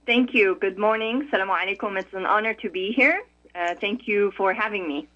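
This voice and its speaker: A woman from Morocco, a conflict resolution expert, speaking as a radio interview guest in a thoughtful, measured way with frequent pauses. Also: medium pitch. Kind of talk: radio interview over the phone. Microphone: telephone. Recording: tinny phone line.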